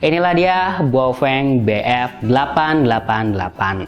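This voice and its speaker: A man narrates a casual gadget review. His voice sits at an average pitch. Music plays behind him as he explains things in everyday, conversational wording. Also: expressive, measured pace, dull audio. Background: background music.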